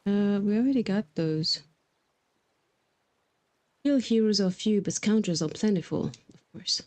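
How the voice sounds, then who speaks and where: A woman's voice: mid-range voice, measured pace, darkish tone. A woman livestreaming a video game, chatting casually and reading dialogue aloud in a musing, hesitant manner. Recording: music behind the voice. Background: game music.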